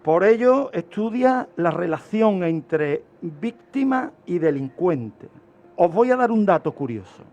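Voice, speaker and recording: A man's voice: high voice, very animated, slow, a little mellow, dull audio. A middle-aged man from Spain with a Spanish accent, explaining like an expert on a radio talk, emphatically and step by step. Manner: didactic, emphatic, builds explanations with examples and short phrases. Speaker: criminologist guest expert.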